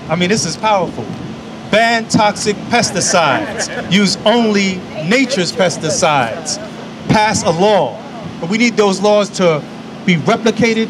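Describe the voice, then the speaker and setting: A man in his forties speaks into a podium microphone on an outdoor lawn, with the cadence of a preacher. His voice sits fairly high, and he leans on key phrases by repeating them. Steady city traffic hums loudly behind him. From New York. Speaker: invited speaker. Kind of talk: speech at an outdoor public event. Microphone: podium microphone on a stand. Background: city traffic and street ambience.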